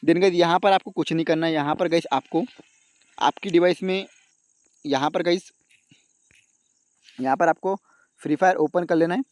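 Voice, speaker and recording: A man's voice: a little high, fairly even intonation, normal speed, pauses often, no echo. A man hosts an informal step-by-step phone tutorial, repeating stock phrases and often addressing his viewers directly. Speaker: YouTube tech tutorial host.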